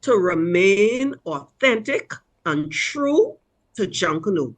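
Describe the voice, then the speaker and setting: A woman with a Bahamian accent talking in a measured, emphatic, conversational way. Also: medium pitch, fairly bright tone. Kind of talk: conversational panel talk.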